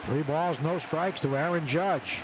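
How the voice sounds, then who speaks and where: Man, baseball play-by-play, radio commentary, somewhat high pitch, clipped phrasing, noisy outdoor ballpark. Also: a little slow. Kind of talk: live radio sports commentary. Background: steady ballpark noise.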